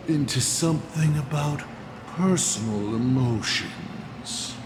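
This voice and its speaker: Casting: cunning, amused game villain lord; gravelly, hugely animated swings of pitch, grandiose and teasing. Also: male voice, noisy background, heavily acted. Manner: theatrical, teasing, deliberate. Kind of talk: dramatic video game voice acting. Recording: echoing voice with music underneath. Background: music.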